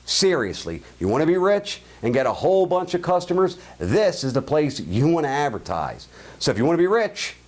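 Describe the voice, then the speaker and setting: A man's voice: fairly high pitch, very animated, a little fast, darkish tone. A man with a Baltimore accent hosting his own show, giving blunt, jokey opinions and answering listener emails. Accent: Baltimore (Highlandtown). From Baltimore, USA. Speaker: show host. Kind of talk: opinion monologue and listener mail.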